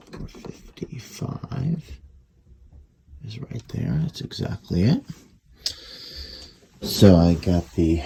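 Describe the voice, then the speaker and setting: Very low, gravelly man muttering as he works. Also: dark tone. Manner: muttering running commentary to himself while working. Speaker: radio repairer. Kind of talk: casual repair demonstration.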